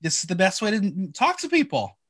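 A man's voice: sits high, huge pitch swings, brisk, fairly bright tone, breathy edge. A man hosting a podcast, chatting in an upbeat, casual way with small restarts. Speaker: podcast host. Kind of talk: casual interview. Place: home in New York.

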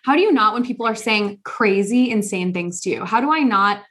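A woman co-hosting a podcast in a casual chat, animated, laughing often and talking with many fillers. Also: medium pitch, a little fast. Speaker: podcast co-host.

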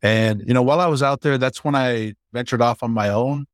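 A man in his forties from Hawaii, a startup founder chatting casually and warmly about his life as an interview guest. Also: mid-range voice, fairly quick, no echo, clean studio sound.

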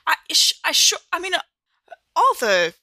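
A woman playing a tabletop roleplaying game on a podcast, chatting in character in an expressive, questioning way. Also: very high-pitched, moderate pace, no echo, studio quality. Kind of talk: casual in-character improvised chat.

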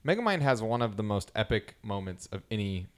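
A man in his thirties with a fairly low voice, talking casually on a podcast. He gets enthusiastic and laughs easily as he tells a story. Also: big pitch swings, brisk. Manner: enthusiastic, laughs often while retelling scenes. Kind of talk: casual chat.

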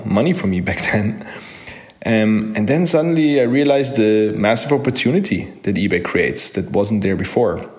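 A man in his thirties with a fairly low voice tells his story as an online entrepreneur. He sounds earnest and keen, and often pauses on fillers as he finds his words. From Austria. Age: 30s. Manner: earnest, enthusiastic, with frequent fillers and restarts. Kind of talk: personal story told to camera.

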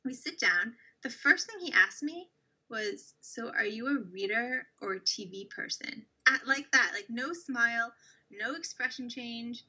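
A young woman co-hosting a casual chat, telling an animated dating story and acting out the quoted lines. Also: a little high, measured pace, slightly rough, low-quality recording. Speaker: co-host.

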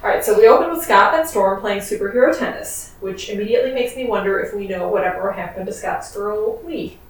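A soft-spoken woman co-hosting a podcast, recapping a comic in casual chat. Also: medium pitch, a little fast. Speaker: podcast co-host. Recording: very echoey, distant from mic, faint noise.

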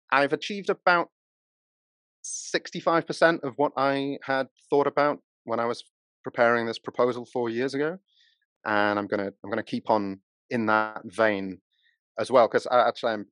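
A man's voice: fairly low pitch, fairly bright tone, no room sound. A man, a university researcher, explaining his work in an academic, explanatory way during a conversational interview. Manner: explanatory, academic, with frequent restarts. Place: Japan.

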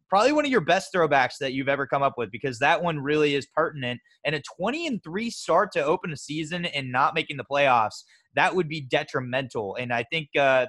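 A man's voice: mid-range voice, a bit bright. A man co-hosting a sports podcast, chatty and rambling, with lots of fillers and restarts. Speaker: podcast co-host. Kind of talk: casual sports podcast chat.

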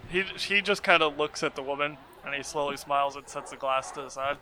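A man with a bright, forceful voice speaks for his detective in a tabletop game. His voice is big and carries, and he breaks into laughter often. Faint noise hums under the recording. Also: on the high side, brisk, rough recording. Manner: loud, carrying voice, laughs often. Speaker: player. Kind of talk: tabletop role-play, in character as a detective.

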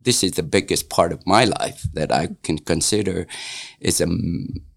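A man in his sixties from Vietnam, speaking English with a Vietnamese accent in a casual interview, halting and full of fillers and restarts. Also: low voice, creaky. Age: 60s. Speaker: interviewee, local business owner. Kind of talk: casual oral-history interview.

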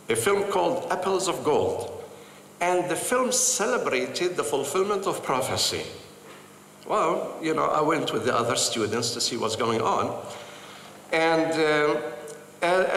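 An older man from Palestine tells his own stories to a crowd, his slightly high voice rising and falling in lively swings. He speaks earnestly, pausing often. The sound carries the long echo of a big hall. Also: slight background noise. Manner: earnest, animated storytelling with frequent pauses. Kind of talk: personal storytelling talk. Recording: echoey hall with light ambience.

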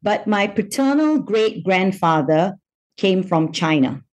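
Slow, measured woman from Malaysia. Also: mid-range voice, animated, dull audio. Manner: measured, thoughtful, frequent ums. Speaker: psychiatrist guest. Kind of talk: reflective interview answers.

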